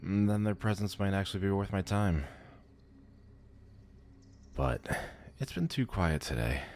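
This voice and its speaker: Man voicing a very deep, haughty demon lord. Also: expressive, a little slow, a little mellow. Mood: bored, intrigued. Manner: pompous, condescending, theatrical. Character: haughty, powerful male demon lord, bored and amused. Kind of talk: ASMR audio roleplay acting.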